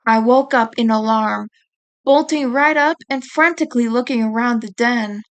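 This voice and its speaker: A woman reading a scripted first-person story aloud as its author, in a deliberate storytelling manner. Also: on the high side, clean tone. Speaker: author reading own book chapter.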